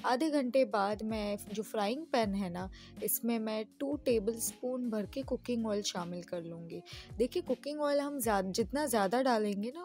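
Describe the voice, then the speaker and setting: A woman's voice: on the high side, a little mellow. A woman from Pakistan with a Pakistani Urdu accent, chatting through a home cooking recipe as host of her channel. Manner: chatty, friendly, repeats tips. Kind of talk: casual step-by-step cooking voice-over.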